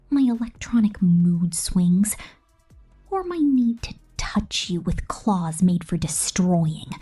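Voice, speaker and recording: A woman voicing a possessive, cold female killer drone robot in a breathy, slow, menacing whisper for an ASMR roleplay. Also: on the low side, warm tone, full character voice.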